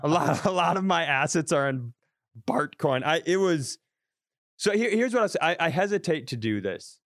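A man's voice: a little high, expressive, a bit bright, dry recording. Dry-miked man riffing comic bits. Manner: halting restarts building up bits. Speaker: comedian guest. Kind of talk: casual comedic riffing.

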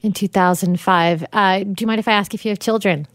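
A woman's voice: mid-range voice, steady tone, a little fast, dry recording. Woman host asking direct questions. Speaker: radio interview host. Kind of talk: radio interview.

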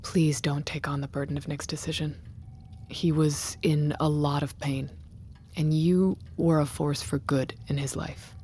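An actress playing a guarded, grieving tech founder in a naturalistic audio drama, hushed, measured and hesitant. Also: female voice, low voice, level tone, slight background noise. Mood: grieving, consoling. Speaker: tech company founder and CEO.

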